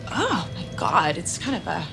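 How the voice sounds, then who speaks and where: A woman's voice: on the low side, huge pitch swings, moderate pace, gravelly, music in the background, full character voice. An actress playing a self-absorbed, trendy young female coworker in an audio drama, blunt, drawling and unbothered. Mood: blasé, put-upon. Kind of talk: audio fiction acting.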